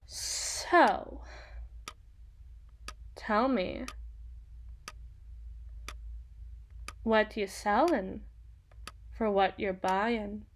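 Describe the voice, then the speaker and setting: A woman's voice: medium pitch, drawn-out words, long pauses, slight background noise. A woman playing a seductive, sadistic female demon in a teasing, condescending, theatrical ASMR roleplay monologue. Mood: haggling, taunting.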